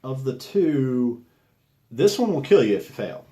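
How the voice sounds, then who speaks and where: A man's voice: mid-range voice, very animated, unhurried, mellow. A man at a game table talking through moves and rules in casual chat. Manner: explains rules and strategy to others. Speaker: board game player and show regular. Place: room around a game table.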